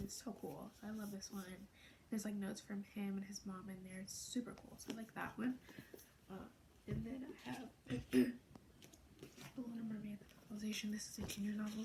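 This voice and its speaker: A teenage girl vlogging in a hushed, breathy half-whisper with a gravelly fry at the ends of her phrases. She gushes and sprinkles "like" through almost every sentence, in a fairly flat, even tone. The recording has a steady, clearly audible hiss underneath. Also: mid-range voice. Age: late teens. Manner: soft, half-whispered, gushing, filled with "like". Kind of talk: casual fan vlog.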